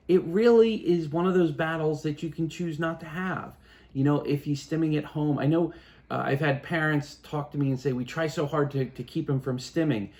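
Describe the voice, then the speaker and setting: Gravelly fifties dad, casual home chat, thinks out loud w lots of ums and restarts. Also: male voice, a little high. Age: 50s. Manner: thoughtful, halting, many restarts and fillers. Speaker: parent.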